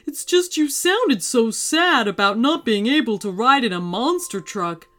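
A woman's voice: on the high side, lively intonation, unhurried, a bit bright. Woman voicing a nervous, sheepish furry storybook monster. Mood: nervous, apologetic. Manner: hesitant, sheepish, apologetic. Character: nervous, apologetic green furry male monster.

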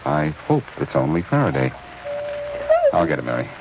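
An actor playing a cool-headed, wry detective in a 1940s radio mystery. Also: male voice, very deep voice, wildly swooping pitch, normal speed, creaky, full character voice. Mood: grave, wondering. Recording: muffled old radio recording, music behind.

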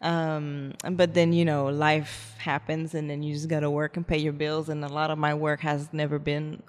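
A woman's voice: sits low, completely flat delivery, normal speed. A French Canadian woman from Montreal, speaking as a guest on a podcast in a rambling, casual way. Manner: rambling, lots of "like" and "you know", laughs easily. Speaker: guest, photographer. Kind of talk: casual interview chat. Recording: echoey room with long reverb.

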